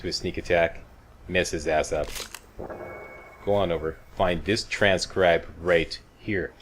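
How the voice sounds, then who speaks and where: A man with a low voice gives casual game walkthrough commentary. He is chatty and wry, a bit crude, and often checks in with the listener. Faint noise and game ambience sit behind him. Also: fairly even intonation, measured pace, fairly bright tone. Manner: chatty, wry, mildly profane, checks in with "okay" and "right". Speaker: video game walkthrough host.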